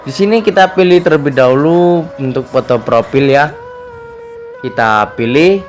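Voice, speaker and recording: A slightly hoarse man narrates a casual tutorial as host, chatty and fond of repeated verbal tags. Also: mid-range voice, moderate pace, faint music behind.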